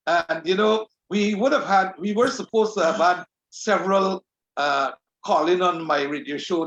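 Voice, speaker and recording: Formal host in an echoey room. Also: male voice, sits high, fairly bright tone. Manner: formal, courteous. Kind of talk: online show hosting and sponsor reads. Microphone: video call. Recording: echoey, dull online audio.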